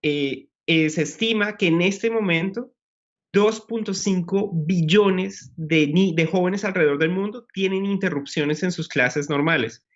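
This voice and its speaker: Man, scientist guest, measured, explanatory, higher voice, dull audio. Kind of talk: explanatory live chat. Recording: muffled broadcast audio.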